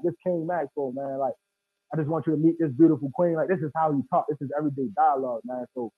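A man's voice: on the high side, husky, dry recording. A man in casual chat, talking earnestly and emphatically, often saying bro. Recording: tinny phone-like sound, dry.

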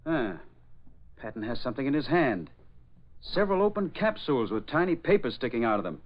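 An actor playing a shrewd, decisive police inspector in a 1940s radio drama. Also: male voice, mid-range voice, very animated, brisk. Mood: certain. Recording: dull old 1940s radio recording.

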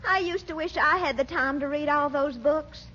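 A woman's voice: high-pitched, normal speed, bright, forceful tone. A woman playing a flirtatious, sweet-talking lady who gushes coquettishly in a 1940s radio comedy. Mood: gushing, coquettish. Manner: gushing, coquettish. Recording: dull, noisy old radio recording.

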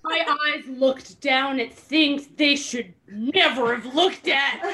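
A woman's voice: high voice, background noise. A woman host giving a comedic dramatic reading with giggly, sarcastic asides. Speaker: podcast host reading a book aloud.

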